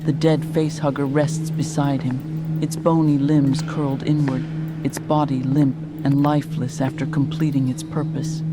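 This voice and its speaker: A man's voice: medium pitch, flat delivery, normal speed, close to an everyday voice. A man narrating a scripted sci-fi horror audio drama in a serious manner. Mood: ominous narration. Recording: loud music bed behind.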